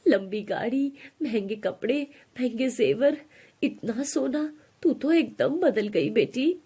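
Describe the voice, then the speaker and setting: A woman's voice: a little high, lively intonation, slow, mellow, husky, heavily acted. A woman voicing a money-minded mother in a moral story, gushing and wonderstruck. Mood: amazed.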